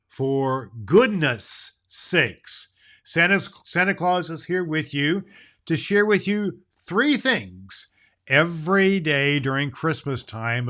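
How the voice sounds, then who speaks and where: A man's voice: mid-range voice, a bit bright. A man playing a jolly, kindly Santa Claus, chatting to children in a folksy, rambling way on a festive storytelling podcast. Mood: jolly, booming.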